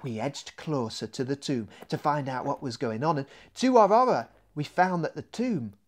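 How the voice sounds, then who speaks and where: A man's voice: a little high, animated, normal speed, close to an everyday voice. A man voicing an earnest woman telling of a startling discovery in a children's storybook, in a breathless storytelling manner. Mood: startled, excited.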